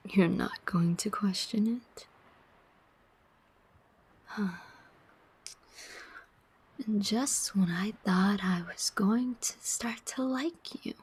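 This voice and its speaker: A cunning female demon played by a woman speaks in an ASMR roleplay. Her voice is almost entirely breathy whisper, with very little clear tone. She moves extremely slowly and deliberately, taunting her listener as she goes. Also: mid-range voice, a little mellow, full character voice.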